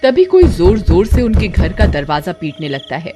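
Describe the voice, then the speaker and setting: Animated woman narrating a horror story. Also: lowish voice, normal speed. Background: music.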